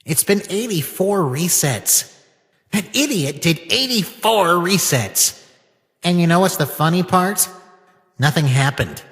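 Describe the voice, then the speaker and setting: An actor voicing a scheming, bitter little talking flower in a dramatic comic dub, with a taunting, drawn-out delivery. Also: mid-range voice, expressive, fairly bright tone, big-room sound. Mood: frustrated, seething.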